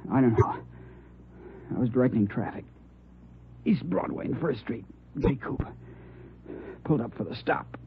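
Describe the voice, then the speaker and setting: A man's voice: medium pitch, lively intonation, normal speed, long pauses, lightly acted. A man playing a dazed, dying traffic policeman, weak, gasping and in pain, in 1940s radio acting. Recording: tinny 1940s radio recording, faint noise.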